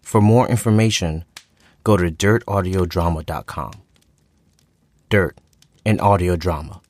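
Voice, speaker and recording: A man's voice: low-pitched, a little slow, a little mellow. A man announcing a scripted trailer for a fiction podcast. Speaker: promo announcer.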